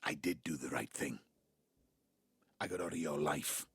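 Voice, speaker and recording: An ageing father in an audio drama, guilty and evasive. His voice is deep and very husky, often thinning to a breath as he pleads, recorded close and dry. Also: normal speed, no echo, heavily acted. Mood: subdued, defensive. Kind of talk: naturalistic audio drama acting.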